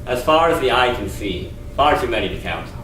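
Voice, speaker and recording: A man's voice: mid-range voice, sing-song, a little fast, a bit bright, far from the mic. A man playing a destitute father living in a shanty town, interviewed for a mock 1930s news report. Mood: bleak. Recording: echoey room, off-mic, faint noise.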